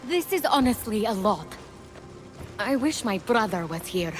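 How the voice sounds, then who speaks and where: A woman's voice: medium pitch, big pitch swings, measured pace, soft background music. An actress playing an earnest, self-doubting young female hero in a video game, hesitant and wry. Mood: unsure.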